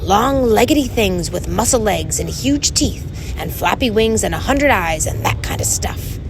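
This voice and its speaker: A woman voicing an excitable, simple-minded tiny male pixie in a storybook reading, with clear noise behind. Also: medium pitch, moderate pace, lightly acted. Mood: eager.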